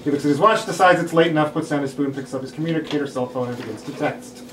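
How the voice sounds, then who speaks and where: Male narrator for stage directions, slightly high voice, roomy distant recording with background hiss. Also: very fast. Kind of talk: script read-through narration. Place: room at a group table read. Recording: echoey room, distant mic, hiss.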